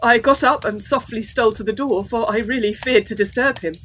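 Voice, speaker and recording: An actress playing an anxious, refined young Victorian gentlewoman in a gothic audio drama, with dramatic delivery. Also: a little high, a little fast, a little hiss or hum, natural voice. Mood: shaken, distressed. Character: distraught, well-bred young Victorian gentlewoman, devoted daughter.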